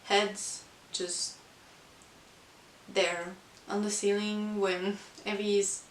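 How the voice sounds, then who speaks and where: A woman who is not a native English speaker, chatting casually about books as a vlogger in a halting, hesitant way. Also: medium pitch, level tone. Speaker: book vlogger. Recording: roomy, distant mic with hiss.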